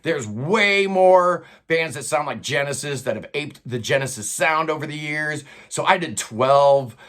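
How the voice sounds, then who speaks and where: A man's voice: fairly high pitch, animated, unhurried, fairly bright tone. An older man hosting a prog rock countdown from a record-filled home studio, talking in a loose, enthusiastic, casual way with plenty of fillers. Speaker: host of a prog rock channel.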